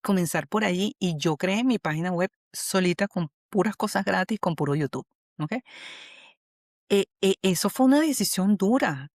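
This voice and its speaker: A Venezuelan woman, a human resources specialist, talking as a guest in an interview, animated and often checking in with "¿ok?". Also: medium pitch, moderate pace, no room sound, clean studio sound. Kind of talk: conversational interview.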